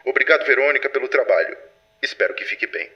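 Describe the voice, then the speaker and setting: A man playing a stern, controlled patrol commander, measured and authoritative, in an audio drama. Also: mid-range voice, expressive, lo-fi sound, heavy background noise, close to an everyday voice. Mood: sober. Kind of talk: audio drama acting, dossier narration.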